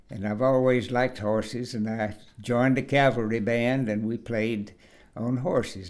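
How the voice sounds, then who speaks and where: A man, reminiscing in an oral history interview in a halting way, often repeating and restarting words. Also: on the low side. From Kentucky, USA. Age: 80s. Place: at his home.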